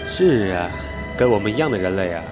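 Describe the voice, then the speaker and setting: A man's voice: fairly low pitch, lively intonation, fairly quick, lightly acted. A man playing a flippant, scornful experiment agent in a sci-fi radio drama. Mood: mocking. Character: flippant, scornful male colleague from a secret experiment. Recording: loud music bed under the voice.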